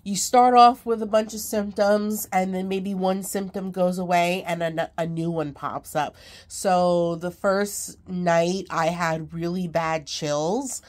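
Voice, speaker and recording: Low, stuffy-nosed woman vlogging while sick; rambling, casual, lots of fillers. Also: fairly even intonation, takes it slowly, darkish tone. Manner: rambling, many ums, laughs between lines. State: congested, sick. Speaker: craft vlogger. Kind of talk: casual chat to camera.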